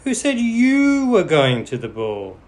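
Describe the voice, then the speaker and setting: A man voicing a bossy, cruel stepmother in a storybook, sharp and scornful. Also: high-pitched, huge pitch swings, takes it slowly, voice pushed up, full character voice. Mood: angry scornful. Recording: clearly noisy background.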